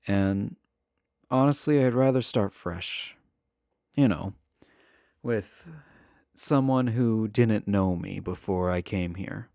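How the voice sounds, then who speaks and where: A man's voice: on the low side, slow, creaky, long pauses, darkish tone, no echo, clean studio sound. A man playing a shy, adorkable imprisoned villain in a romantic roleplay, speaking to the listener in a hesitant, stammering, earnest way. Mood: earnest, wistful.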